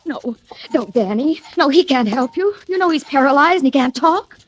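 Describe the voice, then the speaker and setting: A woman's voice: high-pitched, lively intonation, moderate pace. An actress playing a frightened woman in love with a fugitive, pleading anxiously in 1940s radio thriller style on a noisy old recording.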